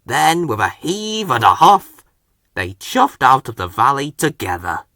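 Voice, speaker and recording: A man reading a children's story as narrator, in a measured, expressive way. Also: medium pitch, no echo, clean studio sound. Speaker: storybook reader.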